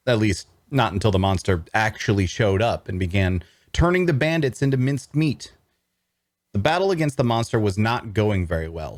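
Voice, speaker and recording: A man's voice: on the low side, no echo. An actor playing a sardonic necromancer antihero, narrating his own quest conversationally with dry humor and rhetorical asides. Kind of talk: first-person dramatic narration at a script table read.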